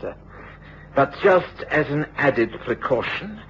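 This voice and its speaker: An actor playing a cultured, menacing foreign villain, deliberate and halting, in a period radio drama. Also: male voice, fairly high pitch, animated. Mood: coldly menacing. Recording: dull, noisy radio drama recording.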